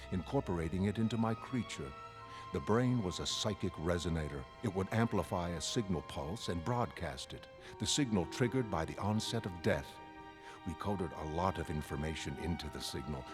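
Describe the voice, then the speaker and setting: A man voicing a coldly arrogant genius villain, smooth, unhurried and superior, in a motion comic. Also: deep voice. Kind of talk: dramatic motion comic narration.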